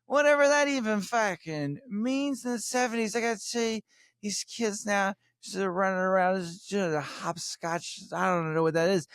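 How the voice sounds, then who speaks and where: A man's voice: sits high, moderate pace, fairly bright tone, clean tone, dry recording, studio quality. A man voicing the brash, boozy ghost of an older mother, sarcastic, sweary and nagging in a comedy sketch. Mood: brash, indignant.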